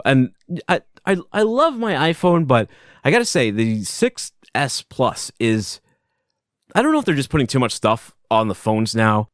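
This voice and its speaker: A man hosting a solo podcast from his basement, talking loudly and casually, rambling and chuckling as he goes. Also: mid-range voice, no echo, clean studio sound. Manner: animated, rambling, self-interrupting, chuckles often; loud voice. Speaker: podcast host. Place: home basement.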